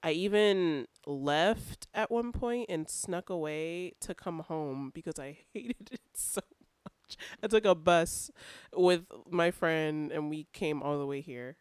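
A woman's voice: deep voice, slow, darkish tone, clear, resonant tone, dry recording, clean studio sound. A young woman from California, telling a story on a podcast in a laid-back, casual way, laughing between phrases. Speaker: podcast co-host. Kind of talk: casual chat.

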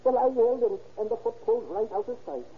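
A man playing a confused, excitable Irish working man in an old radio drama, stammering with an Irish accent. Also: extremely high pitch, fast. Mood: flustered, bewildered. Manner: flustered, stammering, rambling. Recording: tinny, hissy old radio recording.

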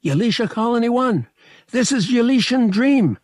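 A man voicing a brash young starship pilot in an audiobook, excitable and colloquial. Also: extremely high pitch, moderate pace, no echo, clean studio sound, voice pushed up. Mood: frantic, strained. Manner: urgent, colloquial, exclamatory. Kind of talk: audiobook character voice.

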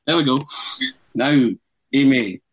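A man's voice: mid-range voice, slow, husky, rough recording. Guy hosting a footy podcast, jokey and chatty, laughs a lot. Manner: jokey, chanting, laughs often. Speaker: podcast host. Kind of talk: casual chat.

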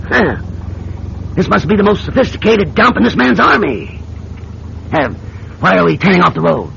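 Shrewd senator and old recon pilot, higher-set creaky voice, persistent folksy questioning, classic radio drama on a noisy recording. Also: male voice, very animated, normal speed, a bit bright, muffled recording, full character voice. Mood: suspicious, probing. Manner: probing, insistent, folksy questioning with hesitations.

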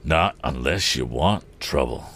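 A man's voice: rumbling low, moderate pace, fairly bright tone. A man voicing a sneering, vindictive villain in a storybook, a hot-tempered and menacing bully. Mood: angry. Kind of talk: audiobook character acting.

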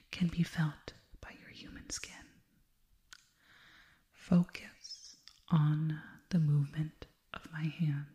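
A woman voicing a serene, detached alien girl in an ASMR hypnosis roleplay, speaking softly and breathily, mostly in whispers, with deliberate, formal phrasing. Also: low voice. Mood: soothing, hypnotic.

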